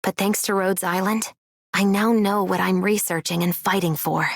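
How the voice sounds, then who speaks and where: An actress voicing a serious, composed female scientist in a video game, formal and measured, with a creaky voice. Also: mid-range voice, steady tone, no room sound, clean studio sound. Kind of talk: scripted game character voice lines.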